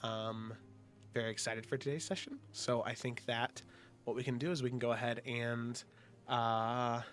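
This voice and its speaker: A man with a congested, slightly nasal voice, opening a tabletop game session as game master in a relaxed way, with many ums. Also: mid-range voice, normal speed. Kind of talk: casual show opening.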